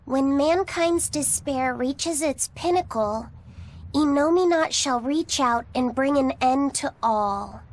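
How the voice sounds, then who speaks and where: A woman voicing a gentle, polite, studious young boy in a video game, speaking softly and earnestly. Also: high-pitched, takes it slowly, rough recording. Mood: thoughtful. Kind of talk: animated video game dialogue.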